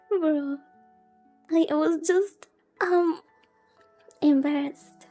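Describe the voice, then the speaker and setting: A woman streamer with a high-pitched, mellow voice reads a story game aloud. She slips between narration and casual remarks, and now and then trips over a name. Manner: casual asides, stumbles over names. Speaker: VTuber streamer reading a visual novel aloud. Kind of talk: live read-aloud of game dialogue with casual commentary.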